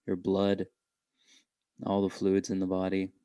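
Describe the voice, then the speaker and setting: A man guiding a meditation in a gentle, unhurried way, leaving long pauses between short phrases. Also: deep voice, monotone, clear, resonant tone, dry recording, clean studio sound. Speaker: meditation guide. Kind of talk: guided meditation.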